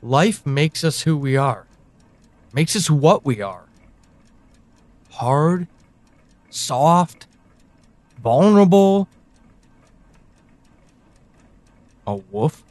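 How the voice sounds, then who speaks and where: Guy acting as a brooding knowing man in an audio drama, talks right at you, slow deliberate pauses, really dry sound. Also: on the high side, very animated, darkish tone, no room sound, heavily acted. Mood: somber, deliberate. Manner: deliberate, halting delivery with sighs and pointed pauses. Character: brooding, knowing man confiding in an amnesiac woman. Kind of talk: audio drama monologue, second-person address.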